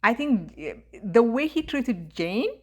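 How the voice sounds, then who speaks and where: A young woman with a thick East African accent, talking in a casual monologue, indignant and halting, with many fillers and restarts. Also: on the high side, very animated. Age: young adult. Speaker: YouTube commentator.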